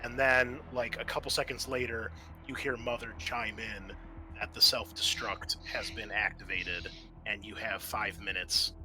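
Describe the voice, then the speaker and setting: A man's voice: on the low side, sharp tone. A man narrating a tabletop roleplaying game as its game master, addressing the players.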